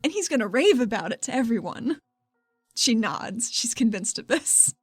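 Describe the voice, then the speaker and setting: Female podcast co-host and player, fairly high voice, conversational improvised roleplay, close dry sound. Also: very animated, normal speed, slightly rough, no echo, faint music behind. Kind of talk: casual improvised roleplay and chat.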